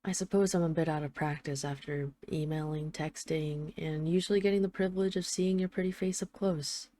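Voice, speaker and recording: A woman's voice: sits low, steady tone, fairly quick, close to an everyday voice. A woman playing an affectionate, wry mafia boss who misses her partner, speaking in an intimate, conversational roleplay monologue like a voicemail. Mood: tender, sheepish. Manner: conversational, affectionate, gently self-deprecating, wry. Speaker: audio roleplay voice actor.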